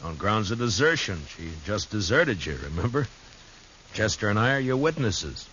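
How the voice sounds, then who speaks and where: A very low-voiced American frontier marshal, stern and steady, speaking with laconic authority. It is old radio western drama, on a dull recording with light hiss. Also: male voice, moderate pace. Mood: quietly kind. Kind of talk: 1950s radio western acting.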